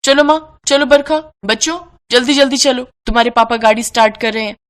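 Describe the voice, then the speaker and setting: A woman's voice: on the high side, brisk, fairly bright tone, pitched above the performer's own voice, close to an everyday voice. A woman voicing a cruel, bossy daughter-in-law in a story, snapping and domineering. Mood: angry, dismissive.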